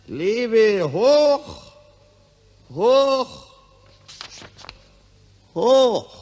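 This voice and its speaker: Simple-minded old-world archduke, high voice, halting, repetitive, long reverb, vintage radio drama. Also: male voice, heavily acted. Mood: ceremonial. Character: doddering, simple-minded Austrian archduke addressing officers. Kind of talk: 1940s German radio drama acting. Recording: reverberant hall, 1940s radio recording.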